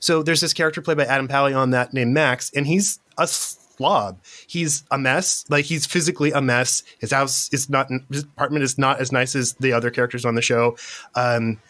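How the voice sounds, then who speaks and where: A man's voice: medium pitch, fairly even intonation, normal speed, pushed and bright. A man from Central California, a guest on a podcast, explaining his points in a frank, casual way. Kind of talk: casual chat.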